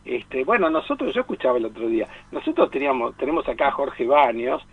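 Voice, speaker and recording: A man's voice: medium pitch, sing-song, slightly rough, dry recording, phone-quality sound. A man with an Argentine Spanish accent giving conversational, digressive film commentary on the radio, often stopping to seek agreement. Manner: digressive, halting, often seeking agreement with tag questions. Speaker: radio show team member and film columnist.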